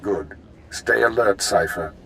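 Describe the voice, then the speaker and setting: An intelligence chief in a video game. His voice sits on the low side, and he speaks formally in clipped, exacting phrases, like a superior briefing an operative. There is light noise behind him. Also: slow pace, gravelly, fairly bright tone, heavily acted, poor audio. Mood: brisk, official. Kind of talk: scripted video game dialogue.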